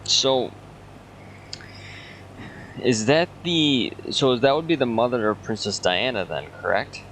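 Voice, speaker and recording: A man's voice: medium pitch, slow pace, a bit bright, a little hiss or hum, rough recording. A man from Michigan hosting a game stream, easygoing, laughing easily and reading chat aloud. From Michigan, USA. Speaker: streamer host. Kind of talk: casual gaming chat.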